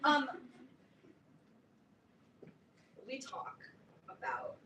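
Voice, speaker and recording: A woman playing a domineering, self-important teenage girl in a staged play reading, speaking in a theatrical, pompous, deliberate way. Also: close to an everyday voice. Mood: defensive, earnest. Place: live reading in a roomy indoor space. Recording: distant echoey room, clear background noise.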